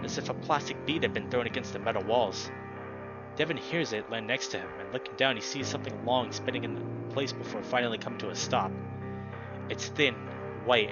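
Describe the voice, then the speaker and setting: A man's voice: mid-range voice, dull audio. Young man reading a visual novel, music behind. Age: young adult. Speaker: let's player reading a visual novel aloud. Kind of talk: dramatic story narration with casual asides.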